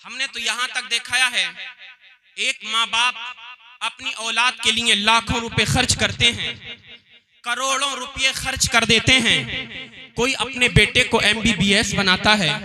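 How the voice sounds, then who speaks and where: Man, very high voice, fiery sermon, repeated refrains, loud crowd behind. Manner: loud, declamatory, repeating lines. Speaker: Islamic preacher. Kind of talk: impassioned sermon.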